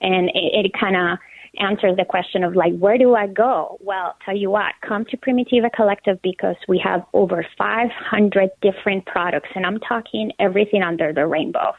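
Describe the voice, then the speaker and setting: A woman from Colombia, a small business owner talking eagerly in quick bursts as a guest in a phone interview. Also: medium pitch, dry recording. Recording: tinny phone line, dry.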